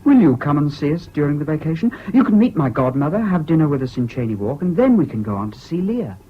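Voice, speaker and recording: A dull, slightly hissy old radio play recording. a young English university student, whimsical and flippant, with a fairly high voice that swoops about freely. He is an impulsive, evasive charmer who chats lightly. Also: very animated, fast talker. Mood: eager, whimsical. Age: young adult. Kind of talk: 1970s British radio drama acting.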